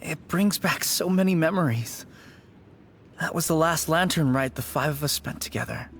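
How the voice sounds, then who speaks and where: A man voicing a gentle, nostalgic grown man in a video game, speaking softly and reflectively. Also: fairly high pitch, animated, normal speed. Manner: soft, reflective. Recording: music playing under the voice.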